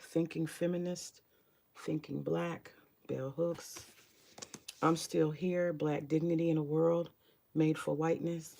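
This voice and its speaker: Woman, deep morning voice, heavy vocal fry, slow easy talk, mutters and swears while browsing books. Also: mellow, slight background noise. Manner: rambling, swears freely, mutters to herself while searching. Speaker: vlogger sharing her book collection. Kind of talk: casual home vlog chat.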